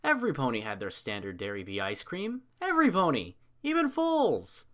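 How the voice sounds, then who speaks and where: Lively male narrator, very varied pitch. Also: normal speed. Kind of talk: animated fan fiction story narration.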